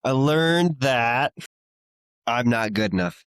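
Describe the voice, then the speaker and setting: A man in a casual talk giving short, deadpan answers. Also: medium pitch, slow pace, clean tone, no echo. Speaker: podcast co-host. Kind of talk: casual chat.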